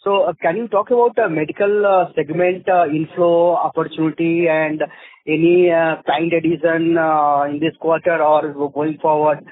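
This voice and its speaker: Male analyst caller, monotone questioning, dry and narrow telephone sound. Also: on the high side, normal speed. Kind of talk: earnings call questioning. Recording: dry, tinny handset phone line.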